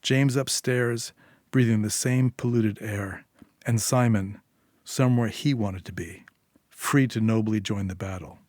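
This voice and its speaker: A man's voice: lowish voice, measured pace, a little mellow, no echo, clean studio sound. A man reading a dramatic short story as a storyteller, in scripted narration.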